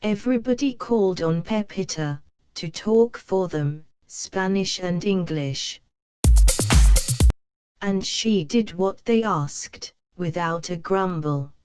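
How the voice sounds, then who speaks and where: A woman narrating a children's storybook in a slow, clear read-aloud manner. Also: lowish voice, over loud music.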